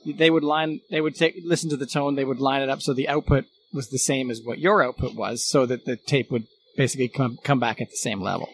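A man's voice: medium pitch, fairly even intonation, brisk, hardly any pauses, slight background noise. A man, a guest giving a casual, matter-of-fact technical explanation in methodical steps. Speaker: guest, former radio technician.

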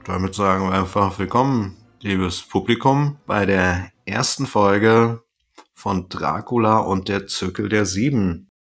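A man with a somewhat low voice opens the show, greeting listeners and handing the talk to his co-host. His delivery is friendly and unhurried, with a few false starts. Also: fairly bright tone. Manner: friendly, plays the moderator, slow hesitant intro. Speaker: podcast co-host. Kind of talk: casual podcast hosting.

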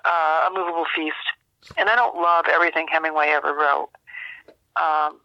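A woman's voice: low-pitched, steady tone, no room sound. Older American woman on a dull landline. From rural United States. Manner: halting, thoughtful, with long searching pauses. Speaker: interview guest, author and memoir teacher. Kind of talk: reflective interview talk. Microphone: landline telephone. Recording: dull, narrow landline phone sound.

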